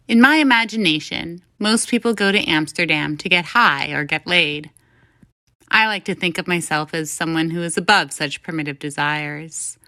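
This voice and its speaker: Woman, story reader, scripted narration, bright tone, smooth and clean, dry recording. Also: lowish voice, dry recording. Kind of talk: scripted first-person short story narration.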